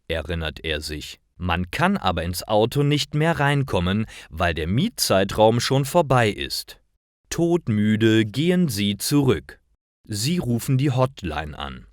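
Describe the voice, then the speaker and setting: A man narrating simple scripted stories for language learners, reading aloud in a deliberate, carefully articulated way. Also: fairly low pitch, no echo, clean studio sound.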